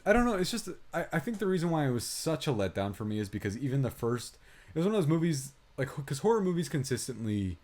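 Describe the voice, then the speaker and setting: A man's voice: on the high side, sing-song, quick pace, darkish tone. A man co-hosting a podcast, giving opinionated, rambling film commentary in casual conversation. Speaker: podcast co-host and film reviewer. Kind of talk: casual movie review chat.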